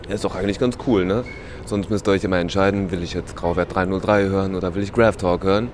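A man hosting a podcast, talking in a relaxed, chatty way with slang and fillers while he has a cold. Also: low voice, fast, background noise. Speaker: podcast host. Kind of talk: casual podcast chat.

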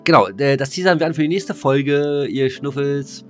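A man's voice: mid-range voice, moderate pace, soft background music. A man co-hosting a podcast, chatting casually with slangy, mock-scolding banter. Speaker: podcast co-host. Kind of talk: casual chat between two friends.

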